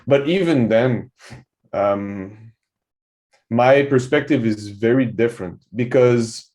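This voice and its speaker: A man's voice: medium pitch, slow pace, darkish tone. A young man from Quebec with a French-Canadian accent, French his first language, talking casually as a guest on a video call and rambling with lots of filler phrases. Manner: loose and rambling, fills with "so on and so forth". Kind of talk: casual podcast conversation.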